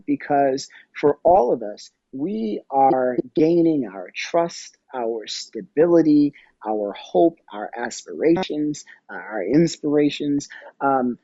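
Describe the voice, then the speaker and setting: A man giving conversational interview answers as a podcast guest, discursive and halting as he thinks aloud. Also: a little high, warm tone, breathy edge, no echo, muffled recording. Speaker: podcast guest, audio storytelling company executive.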